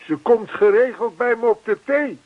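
An actor playing an earnest, lonely old widower in a radio play, talkative and old-fashioned, repeating himself. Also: male voice, very high voice, expressive, normal speed, phone-quality sound. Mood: warmly earnest. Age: older.

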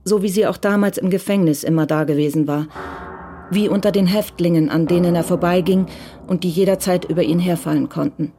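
A woman's voice: on the low side, steady tone, brisk, warm tone, close to an everyday voice. A woman giving scripted literary narration in a radio crime drama. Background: music.

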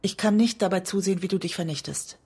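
An actress in a German radio drama playing an anguished woman in love with a self-destructive writer, often speaking in a hushed, breathy way. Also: mid-range voice, fast talker. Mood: pleading, tense. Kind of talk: intimate German radio drama acting, part poetry.

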